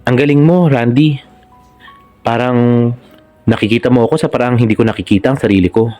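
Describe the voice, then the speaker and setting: A man's voice: mid-range voice, brisk, warm tone, faint music behind, close to an everyday voice. A Filipino man voicing a shy, charming male model in his early twenties in a romance story. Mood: admiring.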